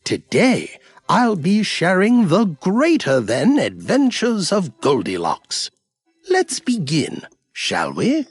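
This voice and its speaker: A pompous, patient cartoon storybook narrator, speaks with grand formality. The pitch swings very widely, the pace is slow and deliberate, and the tone has a husky edge. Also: wildly swooping pitch, no echo, full character voice.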